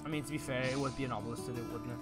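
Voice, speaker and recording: A blustering teenage boy played by a man in an improvised game roleplay. He is excitable and sarcastic, mid-pitched, repeating his words over clearly audible music. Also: racing, close to an everyday voice. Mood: sarcastic, casual. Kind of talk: improvised hobby Minecraft roleplay.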